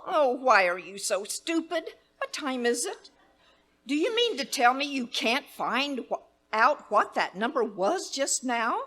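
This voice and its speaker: A woman's voice: high-pitched, very animated, a little slow, a bit bright, heavily acted. A woman playing a demanding, frantic wealthy woman who scolds the telephone operators, in an imperious, fretful voice, in a live staging of an old-time radio play. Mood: exasperated. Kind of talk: live radio play staged as 1930s radio acting.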